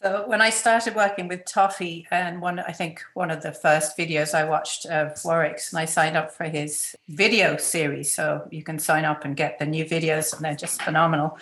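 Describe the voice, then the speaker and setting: A woman's voice: sits low, fairly even intonation, normal speed, hardly any pauses, a bit bright. A woman hosting a casual interview, telling her own story. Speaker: interview host. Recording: echoey room.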